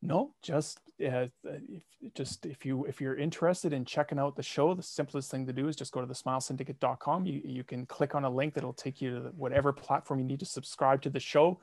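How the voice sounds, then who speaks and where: A man's voice: mid-range voice, fairly even intonation, brisk, a little mellow, no echo, clean studio sound. A man guest on a podcast, chatting casually with a wry, tongue-in-cheek manner. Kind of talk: casual conversational chat.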